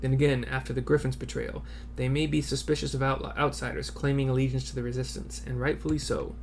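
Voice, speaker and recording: A man voicing a sober, cautious resistance soldier in a fantasy game, in a measured, grave manner. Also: medium pitch, little pitch movement, creaky, slight background noise, lightly acted.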